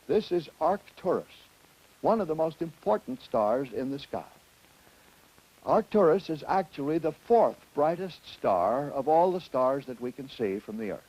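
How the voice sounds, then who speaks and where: Guy with a slightly high voice giving an instructional lecture, careful teacherly phrasing, stresses key points. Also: a little mellow, husky, low-quality recording. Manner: deliberate, emphatic, didactic phrasing. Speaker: educational film presenter and astronomy teacher.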